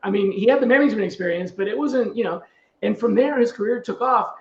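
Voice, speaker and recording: High-pitched man in a roomy space. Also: quick pace, muffled recording. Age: middle-aged. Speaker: podcast host, recruiter. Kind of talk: casual conversational anecdotes.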